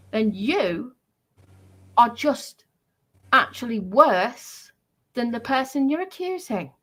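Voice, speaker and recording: A woman speaks at a slow, deliberate pace with a mid-range pitch. She is confrontational and scolding, addressing online trolls off the cuff. Her delivery is halting, and she circles back to stress her points. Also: fairly bright tone, low-quality recording.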